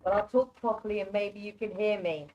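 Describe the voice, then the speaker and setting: A woman's voice: mid-range voice, brisk, over a noisy crowd. A woman with a mixed English and Norwegian accent giving informal live psychic readings in a chatty, direct, reassuring way. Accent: mixed English with Norwegian influence. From born in England, grew up largely in Norway. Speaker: psychic card reader, guest presenter. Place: online video call. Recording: muffled, soft online microphone.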